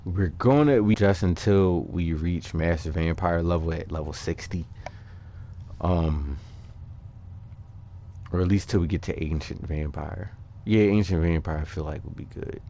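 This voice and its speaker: A man giving casual, unscripted game commentary, thinking aloud in a halting way. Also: low voice, gravelly, mellow, a little hiss or hum. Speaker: gaming video creator.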